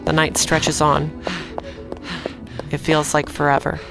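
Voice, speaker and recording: Defiant young street fighter, slow dramatic delivery, noir audio drama, music behind. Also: female voice, deep voice, monotone, gravelly, heavily acted, rough recording. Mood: somber, intense.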